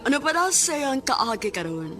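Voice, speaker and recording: A woman narrating a radio drama in a slow, deliberate scripted style. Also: sits high, big pitch swings. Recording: music behind the voice.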